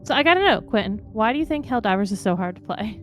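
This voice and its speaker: A woman co-hosting a live stream, telling stories in a lively, chatty way. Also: medium pitch, fast, faint music behind. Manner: animated, chatty storytelling. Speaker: stream co-host. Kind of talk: casual stream chat.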